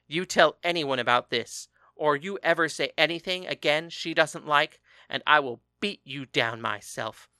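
A man's voice: fairly high pitch, normal speed, few pauses, sharp tone, clear, resonant tone, no echo, clean studio sound. A man voicing a protective older brother who speaks in a firm, menacing way in a storybook reading. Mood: threatening.

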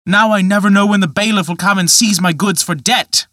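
A frantic young gambler, once a poor charcoal burner, shouts his woes in a raised, strained voice, as a male reader puts him on. The tone is bright and cutting, and the recording is dry and close. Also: on the high side, sing-song, moderate pace, dry recording, voice pushed up. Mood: angry despair. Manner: loud, raised and agitated.

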